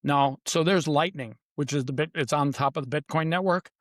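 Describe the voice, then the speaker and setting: A man's voice: a little high, a little fast, fairly bright tone, dry recording, clean studio sound. A man speaking as an interview guest, conversational but emphatic, in short punchy declarations with dramatic repetition. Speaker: podcast guest, author.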